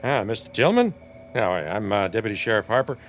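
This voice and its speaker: Deputy sheriff, folksy and soothing, a little hesitant, lively intonation, radio drama. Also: male voice, on the low side, fast talker, noisy background. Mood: placating.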